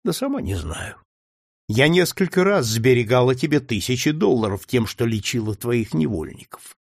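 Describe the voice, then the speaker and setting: A man voicing a bold, sharp-tongued enslaved woman who speaks with reproachful irony. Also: medium pitch, creaky, no echo, clean studio sound, heavily acted. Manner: reproachful, ironic.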